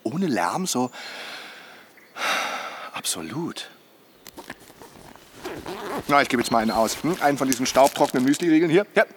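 A man's voice: medium pitch, expressive, normal speed, long pauses, slight background noise, close to an everyday voice. An actor playing a jovial, chattering camper who goads his companion in a naturalistic radio drama, with a teasing manner. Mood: musing, uneasy. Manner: teasing, chatty, punctuated by chuckles and tag questions.

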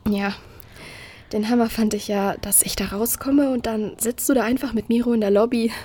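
A woman's voice: a little high, steady tone, normal speed, dark tone, close to an everyday voice. An actress playing a questioning, stammering young woman in a naturalistic audio drama. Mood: hesitant, casual. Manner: hesitant, stammering, colloquial. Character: upset, questioning young woman who lost her father.

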